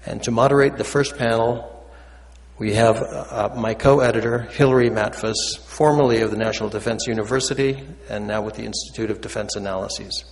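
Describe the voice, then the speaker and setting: Man giving formal, measured opening remarks, hall reverb. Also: fairly low pitch, fairly even intonation. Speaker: book co-editor, event opener.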